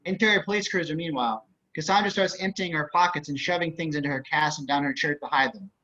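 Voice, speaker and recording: A man's voice: on the high side, fast talker, bright, forceful tone. A man reading scripted stage directions as narrator of an amateur table read on a dull-sounding video call.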